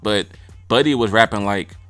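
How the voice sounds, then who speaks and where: A young man reviews rap freestyles in loose, slangy talk, blunt and joking. His fairly low voice has a bright, forward edge. It sounds close and dry, with faint music underneath. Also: moderate pace, no echo. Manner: blunt, slangy and joking; mimics rappers' lines. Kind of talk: casual commentary ranking freestyles.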